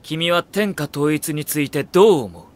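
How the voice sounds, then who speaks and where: An actor playing a refined, cerebral young strategist in an audio drama, with elegant, courtly, probing phrasing. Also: male voice, a little high, moderate pace, natural voice. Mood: probing, cool. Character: refined, cerebral young male strategist, coolly devoted to his lord. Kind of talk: Japanese audio drama acting.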